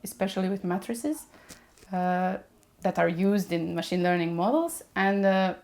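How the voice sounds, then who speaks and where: A woman, a mechatronics engineer whose first language is Serbian, explaining machine learning in a podcast interview and pausing often with fillers as she works through her points. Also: medium pitch, darkish tone. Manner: explains patiently with many hesitations. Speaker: guest.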